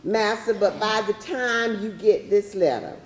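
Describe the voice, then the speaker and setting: A woman playing a sly, gleeful runaway slave in a theatrical monologue, with a drawn-out, mocking delivery. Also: medium pitch, close to an everyday voice. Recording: noisy, echoey hall, distant mic.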